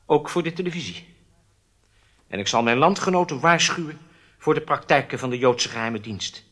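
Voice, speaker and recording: An actor playing a frightened, compliant captured German spy in a radio drama, halting and submissive. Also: male voice, mid-range voice, expressive, fairly bright tone. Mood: eager to comply. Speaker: prisoner under interrogation.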